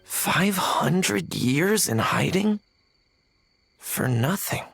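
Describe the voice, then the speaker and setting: Raspy, creaky tanuki spirit. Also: female voice, lowish voice, expressive, very slow, faint music behind, full character voice. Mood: dismayed. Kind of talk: video game voice acting.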